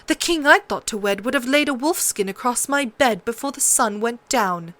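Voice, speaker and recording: A cold and scheming queen, voiced by a woman narrator, pitched fairly high. She is haughty and imperious, with a cutting edge, as heard in an audiobook. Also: lively intonation, normal speed, a bit bright. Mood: scornful triumph. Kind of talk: audiobook character voice.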